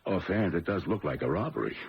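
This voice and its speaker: A man's voice: low-pitched, animated, a little fast. A man playing a no-nonsense police lieutenant in a 1970s radio drama, clipped and businesslike, on a muffled, noisy recording. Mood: brisk.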